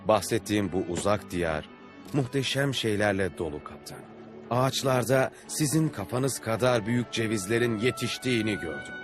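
A man's voice: fairly low pitch, lively intonation, normal speed, over music, lightly acted. A man voicing an earnest, adventurous traveller telling wondrous tales in a dubbed cartoon. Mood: earnest wonder.

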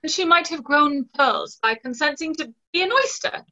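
Girl acting an earnest young lady in a stage play, high bright voice, composed, dull video call sound. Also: animated, normal speed. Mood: resolute. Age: young adult. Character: earnest, resolute young gentlewoman in love. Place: small room at home, video call.

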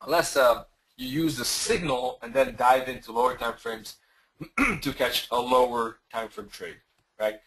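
Webinar presenter, male, mid-pitched, voice going hoarse, casual and full of fillers. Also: a little slow, fairly bright tone, poor audio. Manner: loose, conversational, with many fillers and restarts. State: losing his voice, clearing throat. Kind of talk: screen-share webinar explanation.

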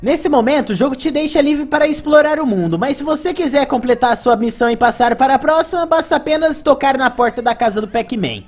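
High-pitched Brazilian narrator over music. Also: fast talker, few pauses. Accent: Brazilian Portuguese. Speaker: video game reviewer and narrator. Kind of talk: scripted retro game commentary.

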